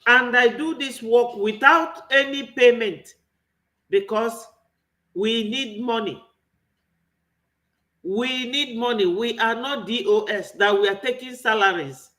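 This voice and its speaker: A man's voice: very high voice, takes it slowly, pauses often, pushed and bright. A man with a Nigerian accent giving an impassioned political commentary, full of rhetorical questions. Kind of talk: online political commentary monologue.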